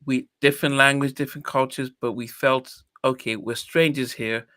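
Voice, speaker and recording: A man's voice: medium pitch, steady tone, moderate pace, sharp tone, dry recording, clean studio sound. A man in his fifties, from Nigeria, hosting a podcast in a reflective, hesitant way with many restarts. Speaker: podcast host. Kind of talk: casual interview chat.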